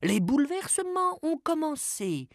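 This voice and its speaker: A woman narrating an educational cartoon like a storybook, in a theatrical, deliberate, mock-solemn way. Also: high-pitched, big pitch swings, no echo, clean studio sound.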